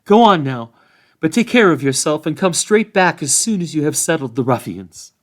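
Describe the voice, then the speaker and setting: Male reader playing a young hobbit woman, pitched well up, teasing edge. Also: sing-song, moderate pace. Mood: tender.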